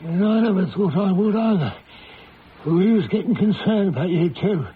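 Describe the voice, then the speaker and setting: An actor playing a superstitious village tavern keeper with a rustic, foreboding manner in a radio drama. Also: male voice, sits high, animated, moderate pace. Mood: hesitant, friendly. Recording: dull lo-fi old radio, faint noise.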